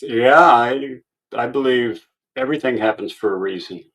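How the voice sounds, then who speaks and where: A man's voice: medium pitch, normal speed, a bit bright. A man in his sixties hosting a livestream, chatting casually with short, laid-back replies and some casual swearing. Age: 60s. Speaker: livestream host. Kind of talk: casual chat.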